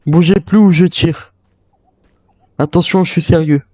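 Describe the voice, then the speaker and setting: Man, mellow voice, wary survivor role-play, video game, muffled roomy recording. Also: a little high, steady tone, measured pace. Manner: speaks in character as a wary survivor. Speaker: player role-playing a zombie apocalypse survivor. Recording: muffled lo-fi mic, roomy echo.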